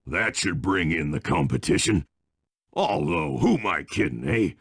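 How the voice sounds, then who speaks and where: An actor voicing a boastful, bullying old-time lumberjack villain in a cartoon game, raspy and taunting with a folksy rustic North American drawl. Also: male voice, deep voice, sing-song, a little slow, a bit bright, dry recording, studio quality, exaggerated voice. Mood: smug, boastful. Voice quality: gravelly, raspy.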